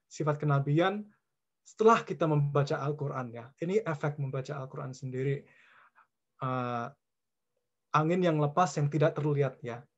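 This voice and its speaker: A man from California giving an informal Islamic lecture as a guest speaker, mixing in English words and pausing often mid-sentence in short phrases. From California, United States. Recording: dull, muffled low-fidelity audio.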